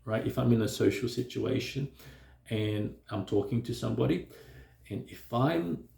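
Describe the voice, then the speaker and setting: A man's voice: medium pitch, expressive, normal speed, vocal fry, darkish tone, echoey room. A man who stutters, talking reflectively to his viewers in a hesitant way, with many fillers and restarts. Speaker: vlogger. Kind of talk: reflective personal talk to camera.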